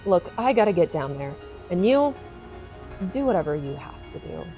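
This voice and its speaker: Woman acting as tough female detective, lowish dark voice, dry, no-nonsense, audio drama. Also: big pitch swings, moderate pace, soft background music. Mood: urgent. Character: tough, wry female homicide detective, army veteran.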